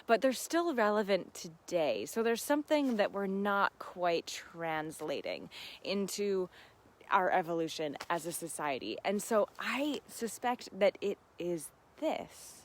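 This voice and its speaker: A woman's voice: mid-range voice, big pitch swings, measured pace. A woman hosting a casual live Q and A, chatting earnestly and reflectively to listeners as friends, often with laughter in her talk. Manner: laughs often while talking. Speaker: host of a live Q and A. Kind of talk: casual livestream chat with reflective asides.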